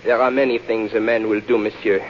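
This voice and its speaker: An actor playing an earnest, dignified French resistance man in a 1940s radio drama, with formal, measured phrasing. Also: fairly low pitch, level tone, natural voice. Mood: grave, earnest. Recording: muffled, hissy old radio recording.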